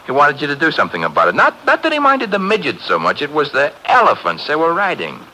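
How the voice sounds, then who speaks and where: A wisecracking sidekick. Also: male voice, a little high, wildly swooping pitch, pushed and bright, full character voice, rough recording. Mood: wry. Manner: quick, sarcastic wisecracks. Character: wisecracking young male detective assistant. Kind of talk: 1940s radio acting. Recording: old radio broadcast with faint hiss.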